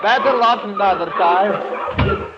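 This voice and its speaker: A suave, cruel aristocratic hunter. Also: male voice, sits high, very noisy. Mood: gloating. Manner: urbane, mocking, drawn-out delivery. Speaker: radio drama actor. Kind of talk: 1940s radio acting. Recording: dull old radio broadcast recording.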